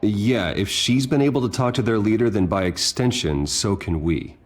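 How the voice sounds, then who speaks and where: A man voicing a grave young billionaire in a story game, his very deep voice husky and even. He speaks in calm, measured, serious tones. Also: natural voice. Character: grave wealthy young man, secret vigilante. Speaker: game character.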